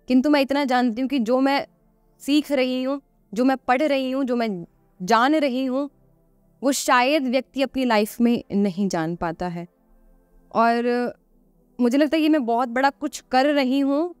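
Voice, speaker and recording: A woman's voice: on the high side, a little mellow, clean tone, dry recording. A woman guest on a podcast, explaining earnestly and conversationally, repeating phrases for emphasis and posing rhetorical questions.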